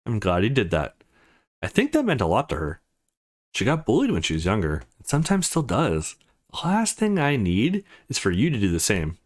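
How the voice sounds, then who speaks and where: A man voicing a laid-back, soft-hearted young man with a casual, dry manner in a visual novel read-through. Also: lowish voice, wildly swooping pitch, moderate pace. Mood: earnest.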